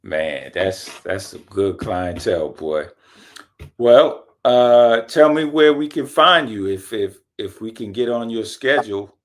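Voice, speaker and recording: A man's voice: on the low side, lively intonation, slow. A man hosting a casual interview, mostly chiming in with short, laid-back replies. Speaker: podcast host.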